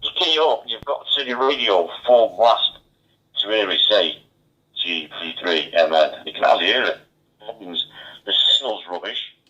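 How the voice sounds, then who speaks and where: A man's voice: on the low side, lively intonation, unhurried, sharp tone. A man chatting casually as an amateur radio operator, heard over a two-way digital radio. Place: mobile radio station. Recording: dull, band-limited two-way radio transmission.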